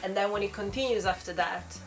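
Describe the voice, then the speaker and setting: A woman with a non-British accent, speaking as a panellist in a reflective, measured and halting way. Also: medium pitch, soft background music, rough recording. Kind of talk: reflective informal discussion.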